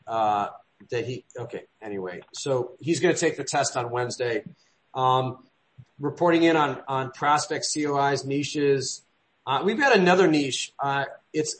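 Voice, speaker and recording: Man, meeting leader, rambling, restarts often, trails off, everyday pitch. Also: poor audio. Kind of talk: business meeting.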